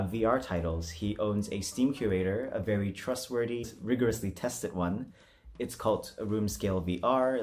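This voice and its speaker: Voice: medium pitch, a little mellow. A vlogger chatting casually in a self-deprecating, hesitant, giggly manner. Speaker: vlogger doing a voice acting challenge. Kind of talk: casual, chatty vlog talk.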